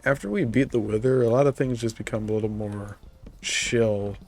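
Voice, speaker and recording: A man's voice: lowish voice, normal speed. Congested man chatting while gaming. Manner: relaxed, rambling, frequent fillers and restarts. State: congested from a cold. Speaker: streamer. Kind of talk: casual gaming commentary.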